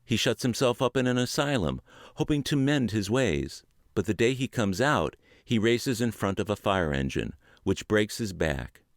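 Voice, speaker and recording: A man with a lowish voice reads a book aloud word for word. His delivery is earnest and emphatic, with a lot of rise and fall. The recording is dry and close, with no room sound. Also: sing-song, normal speed, no echo. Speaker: audiobook reader.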